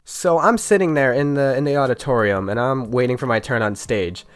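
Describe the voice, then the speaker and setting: A man's voice: mid-range voice, brisk, smooth. A young man with a nasal, stuffy voice from being sick, telling a joking, chatty story about his school days with small stumbles. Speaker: YouTuber. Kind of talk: casual storytime narration.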